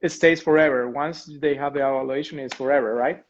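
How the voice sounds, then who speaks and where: A man's voice: medium pitch, fairly even intonation. Guy hosting a video call interview, friendly, stumbles over questions, muffled lo-fi sound. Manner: friendly, halting, restarts questions. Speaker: interview host. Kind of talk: casual online interview.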